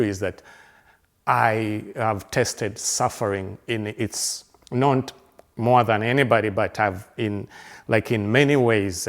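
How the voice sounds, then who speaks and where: A man in his fifties from Uganda talks slowly through his thoughts, stopping and restarting phrases. His voice sits fairly low and has a gravelly edge. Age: 50s. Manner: halting, with many fillers, restarts and repeated words; earnest and reflective. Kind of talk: reflective personal conversation.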